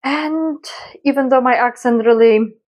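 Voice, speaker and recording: A woman's voice: sits high, a little slow, a little mellow. A young adult woman from Poland with a Polish accent, hesitantly introducing herself in a casual vlog with many fillers. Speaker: YouTuber.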